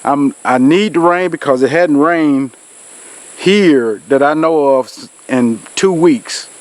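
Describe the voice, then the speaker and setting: A somewhat high-voiced man, nasal from a cold, talks casually outdoors in a rural field. His talk is rambling and folksy, full of "uh" and "so," as he speaks to a camera set on a tripod. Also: slow, no room sound. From Georgia, US. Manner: rambling, folksy, with many fillers. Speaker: outdoors channel host.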